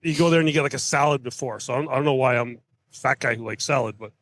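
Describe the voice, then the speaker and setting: A man's voice: medium pitch, rapid-fire, a bit bright. A man chatting casually as a podcast guest, telling self-deprecating anecdotes.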